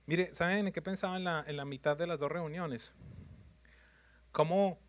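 A man's voice: on the high side, fairly even intonation, normal speed. A man preaching a sermon in numbered points, emphatic and repetitive, often putting questions to his congregation.

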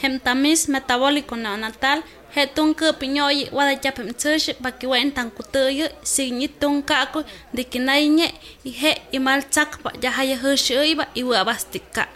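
A woman's voice: high-pitched, fairly even intonation, a little slow, sharp tone. A woman playing a doctor who explains things to a new mother in a health radio drama. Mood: explanatory.

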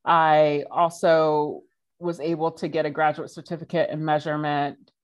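A woman in her forties, a podcast guest answering questions in a lively, conversational way with frequent laughs. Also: sits low, moderate pace. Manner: animated, laughs while telling stories.

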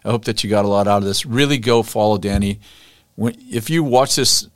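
A man with a low voice hosts his podcast in an enthusiastic, encouraging way. The recording is dry and close. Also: fairly quick, no echo. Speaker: podcast host. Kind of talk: conversational interview.

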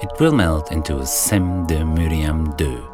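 A man hosting a radio music show, giving calm, measured scripted narration between tracks. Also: a bass voice. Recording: music playing under the voice.

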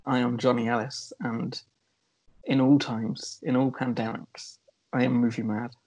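A man's voice: medium pitch, level tone, measured pace, a little mellow, rough recording. A man co-hosting a podcast in casual, comic banter. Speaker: podcast co-host.

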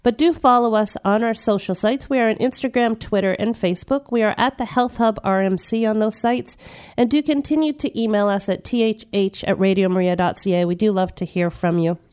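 A woman hosting a radio talk show, her voice nasal from a lingering cold. She chats with her listeners in an upbeat, welcoming way, and the tone stays smooth and clear. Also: medium pitch, fairly quick. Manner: warm, chatty, upbeat and welcoming. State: nasal voice from the tail end of a cold. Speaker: radio health show host.

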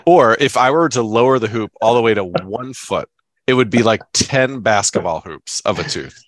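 Stuffed-up man, low dry voice. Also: lively intonation, moderate pace, no echo. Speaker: podcast co-host. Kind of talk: casual chat.

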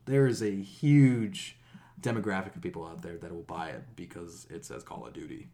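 A man's voice: low-pitched, normal speed, warm tone. A man co-hosting a gaming podcast in casual, profane chat, with a sarcastic, incredulous manner and an easy laugh. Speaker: video game podcast co-host.

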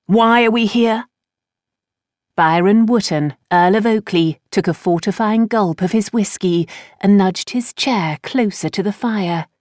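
A woman reading fiction as an audiobook narrator, with expressive, polished storytelling. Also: medium pitch, measured pace, no room sound, studio quality.

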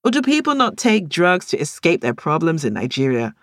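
A woman voicing a sharp, teasing female friend in an audiobook, full of retorts and mock innocence. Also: low voice, very animated, brisk, dry recording. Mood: retorting.

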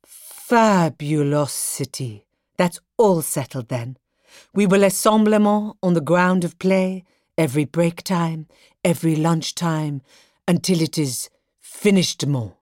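A pompous, vain art teacher from a children's story. The voice is low and measured, lingering slowly over grand, mangled words with theatrical self-importance. The sound is close and dry. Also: female voice, a little mellow, no room sound. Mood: smug, grand. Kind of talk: children's audiobook character acting.

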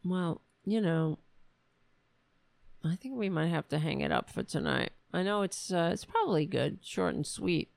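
A woman hosting a solo political commentary show, ranting angrily with profanity while her voice is going hoarse. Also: lowish voice, lively intonation, measured pace, a little mellow, dry recording. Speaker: host.

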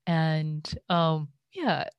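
Slow, hesitant low-voiced woman teacher. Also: lively intonation, clean tone, no room sound, muffled recording. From Iran. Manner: slow, hesitant, many fillers and restarts. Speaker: Buddhist teacher. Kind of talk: dharma talk. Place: home, online session.

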